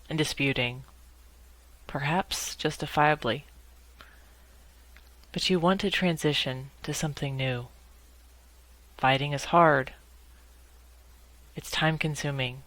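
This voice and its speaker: A woman guiding a meditation in a measured, instructional way, speaking in short phrases with pauses between them. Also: deep voice, a bit bright, no room sound, low-quality recording. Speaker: guided meditation leader. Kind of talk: guided meditation narration.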